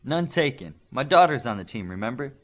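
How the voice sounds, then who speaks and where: A man voicing a dignified middle-aged warrior, a calm and proud father, in an audiobook. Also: medium pitch, a little fast.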